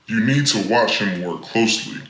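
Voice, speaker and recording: A man's voice: on the low side, fairly bright tone, voice pushed down, close to an everyday voice. A man voicing a harsh, commanding father who scolds his family in a storybook reading. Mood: stern, ordering. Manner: harsh, commanding, slow and deliberate. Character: stern, scolding, domineering adult father. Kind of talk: storybook character voice. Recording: echoey room.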